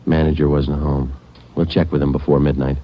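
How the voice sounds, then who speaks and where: A clipped deadpan cop narrating an old radio crime show, super deep voice, dull old broadcast sound. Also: male voice, a little fast, a little hiss or hum. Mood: terse. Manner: clipped, flat, matter-of-fact delivery. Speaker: narrator and lead detective. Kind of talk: 1940s radio drama narration.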